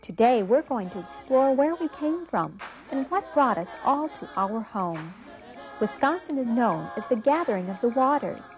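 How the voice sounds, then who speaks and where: A woman with an American accent hosting and narrating a scripted educational program, speaking instructively and directly to young viewers. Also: fairly high pitch. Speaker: narrator and host of an educational show.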